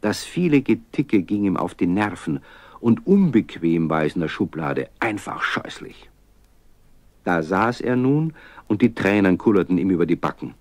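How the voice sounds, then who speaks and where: A man's voice: sits low, big pitch swings, moderate pace, no room sound. A man narrating a children's radio play in a scripted storytelling style, as its storybook narrator.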